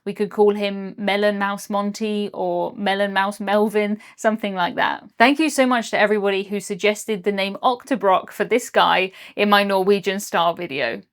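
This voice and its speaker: A young woman with a British accent talks through her travels in an upbeat, chatty voice-over. Her pitch sits right in the middle for a woman, and she drops in small jokes as she goes. The delivery is clearly scripted. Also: fairly even intonation, moderate pace, hardly any pauses. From Britain. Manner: upbeat, chatty, lightly jokey. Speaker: cruise vlogger. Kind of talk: scripted travel vlog narration.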